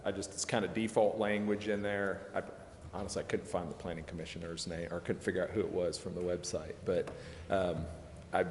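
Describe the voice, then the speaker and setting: A man's voice: deep voice, flat delivery, brisk. An American man, a lawyer advising a council, explaining informally with a hedging, deferential manner. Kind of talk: informal explanation at public meeting. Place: large indoor council chamber. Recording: very echoey noisy chamber.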